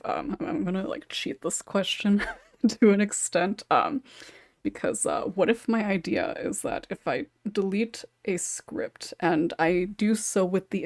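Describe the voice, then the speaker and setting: A woman's voice: mid-range voice, no room sound. Woman chatting as podcast host, dry close sound, hesitant and giggly, lots of asides. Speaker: podcast host and script writer. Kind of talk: casual podcast chat.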